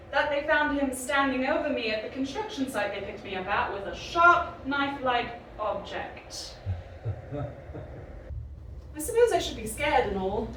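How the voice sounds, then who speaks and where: Actress as irreverent young female narrator of an audio drama: high, bright voice, defiant and wry, in a very echoey space. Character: young, defiant, sardonic woman on drugs, recounting her own story. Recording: echoey, distant, faint background noise.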